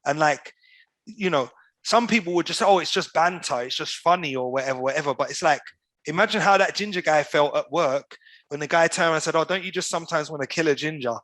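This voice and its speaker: Animated man telling stories. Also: on the high side, fairly quick, dry recording. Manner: animated storyteller, uses slang like "bro" and "you get me". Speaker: podcast guest. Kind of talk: casual storytelling over video call. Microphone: video call.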